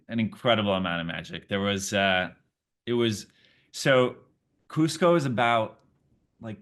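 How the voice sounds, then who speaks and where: A man's voice: lowish voice, a bit bright. A man whose first language is Portuguese, an actor answering interview questions in a friendly, chatty way, thinking aloud as he goes. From Brazil.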